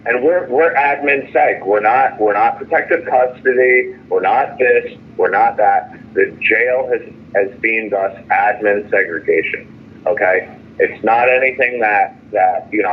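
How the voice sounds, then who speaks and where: A man's voice: lowish voice, slight background noise. Frustrated man on a tinny phone line. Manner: frustrated, complaining. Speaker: jailed caller. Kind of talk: phone call venting about jail and legal case. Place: phone call from jail.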